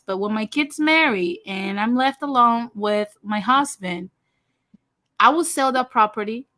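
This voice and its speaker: A woman's voice: medium pitch, pushed and bright, no echo. A woman from Puerto Rico in her thirties, hosting a casual livestream chat and talking frankly and directly to her viewers. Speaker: host of a livestream talk show.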